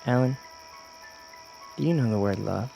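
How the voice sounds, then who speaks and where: A man's voice: medium pitch, vocal fry, warm tone, close to an everyday voice, rough recording. Man playing an earnest young alien, music behind. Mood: earnest, troubled. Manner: earnest, halting. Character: young male alien invader posing as human, conscience-torn. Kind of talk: radio drama acting.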